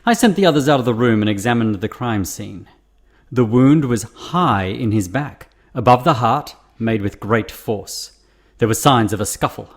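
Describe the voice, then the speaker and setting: A man playing a serious private investigator in a radio mystery play, speaking in a grave, deliberate way. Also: mid-range voice, expressive. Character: serious male private investigator narrating a murder case.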